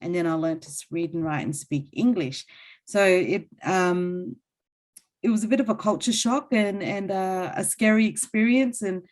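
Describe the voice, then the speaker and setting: A woman from Central Australia whose first language is Luritja Pintupi, an interpreter talking casually as a guest, laughing easily and pausing with many ums. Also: mid-range voice, darkish tone.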